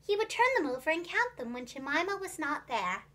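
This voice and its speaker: Female children's storyteller: high, bright voice, expressive and clearly enunciated, scripted storybook narration. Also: fairly quick, slight background noise. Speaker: preschool teacher and children's storyteller.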